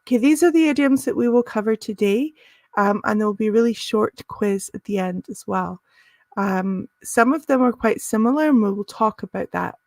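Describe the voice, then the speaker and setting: A woman's voice: mid-range voice, normal speed, darkish tone, dry recording. A woman teaching a live business English lesson, friendly and encouraging, with frequent "um" and "uh" fillers.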